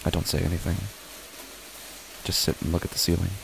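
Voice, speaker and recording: A man playing an uneasy father who narrates his own horror story in a halting, brooding way. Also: low-pitched, monotone, creaky, background noise. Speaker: narrator and lead character of a horror audio drama. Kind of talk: first-person horror audio drama narration.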